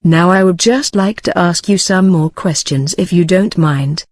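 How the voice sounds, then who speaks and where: A woman's voice: lowish voice, a little slow, darkish tone, no room sound. Woman doing a scripted interview, formal, reading it out, dry sound no room.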